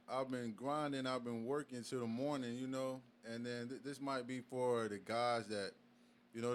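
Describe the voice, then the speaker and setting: Laid-back young man, halting speech. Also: medium pitch, smooth. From Virginia. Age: young adult. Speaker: guest artist. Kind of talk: casual interview.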